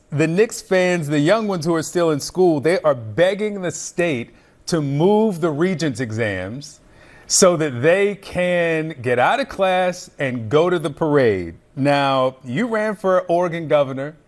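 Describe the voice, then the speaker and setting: A man hosting a news program, interviewing a guest and then reading sports headlines in a brisk, conversational way. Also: on the high side, animated. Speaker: news anchor.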